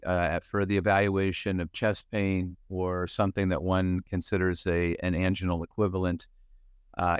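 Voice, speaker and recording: A man in his fifties from Western Pennsylvania, a cardiologist explaining things in a measured way with many fillers and restarts. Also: low voice, clean tone, no room sound, clean studio sound. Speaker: cardiologist guest expert. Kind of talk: podcast medical discussion.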